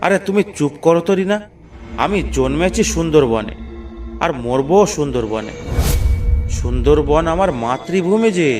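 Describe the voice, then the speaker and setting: A man's voice: on the high side, animated, normal speed, pauses often, a little mellow, recorded from a distance, faint music behind, lightly acted. A man voicing a dutiful, stubborn village husband in a cartoon, speaking firmly and earnestly. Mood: stubborn pride. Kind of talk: cartoon voice acting.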